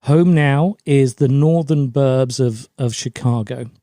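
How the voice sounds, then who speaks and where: A man's voice: medium pitch, slow pace, warm tone, no echo, studio quality. A middle-aged man from Germany, raised in a British forces family, giving chatty, anecdotal answers as an interview guest. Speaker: podcast guest, LinkedIn brand coach.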